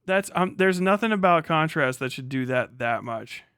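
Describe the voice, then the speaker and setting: A man's voice: on the high side, expressive, moderate pace, a bit bright, clear, resonant tone, no room sound, clean studio sound. A man hosting a livestream, chatting casually and off the cuff, muttering to himself and swearing freely. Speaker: livestream host. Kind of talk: casual unscripted livestream chat.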